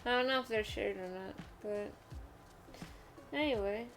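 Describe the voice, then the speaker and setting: A woman's voice: mid-range voice, unhurried, music in the background. A woman chatting casually as a video game streamer, musing and often letting thoughts trail off.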